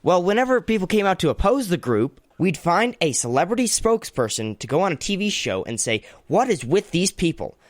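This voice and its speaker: An eager yes-man of a campaign staffer played by a man in a radio comedy. His voice sits fairly high and moves animatedly, with a dry studio sound. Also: sing-song, fairly quick, hardly any pauses, no room sound. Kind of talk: comedy radio theater acting.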